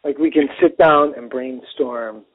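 An American man with a husky voice chatting casually with a friend, agreeable and a little halting. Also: medium pitch. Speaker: podcast co-host. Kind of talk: casual phone chat between friends. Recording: muffled lo-fi phone-call audio.

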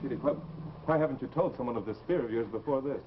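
An actor playing an anxious, insistent young suitor in an old television melodrama, earnest and urgent. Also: male voice, medium pitch, fast talker, lightly acted. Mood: urgent, concerned. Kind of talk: 1950s live television melodrama acting. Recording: noisy, muffled old TV soundtrack.

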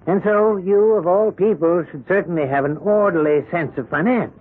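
An actor playing a smug, mock-courteous, husky-voiced crooked credit boss in a 1970s radio drama. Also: male voice, sits high, normal speed. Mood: smug, mock-polite. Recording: thin lo-fi vintage radio recording.

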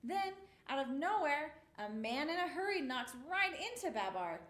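A woman in her thirties reading a picture book aloud to young children, with expressive exclamations, in a small studio. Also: high voice, normal speed, roomy sound. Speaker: storytime reader. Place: small indoor studio with a rainbow mural.

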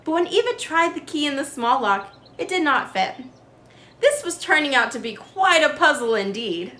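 A young woman reading a children's story aloud with expressive, sing-song emphasis. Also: high-pitched, moderate pace, reverberant.

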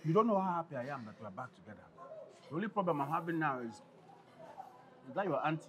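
High-voiced Nigerian husband. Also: male voice, sing-song, quick pace, crowd noise. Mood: tender, pleading. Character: defiant unfaithful husband longing for his peaceful ex-wife. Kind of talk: film drama acting.

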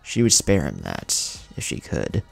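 A man with a low, creaky voice reads a scripted story as a dramatic narrator. His pitch moves up and down in an animated way, with soft music underneath. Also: unhurried.

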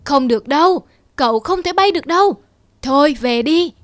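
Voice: sits high, expressive, normal speed, a bit bright, dry recording, studio quality. Proud, blunt cartoon eagle. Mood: dismissive. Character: proud, gruff, dismissive big eagle, an elder brother.

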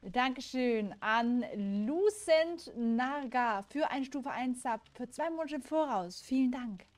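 A woman's voice: sits high, lively intonation, slow pace. A young woman streaming a game from home on a headset mic, chatting playfully with friends and thanking viewers. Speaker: streamer host. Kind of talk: casual gaming stream chat. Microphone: headset and desk mic.

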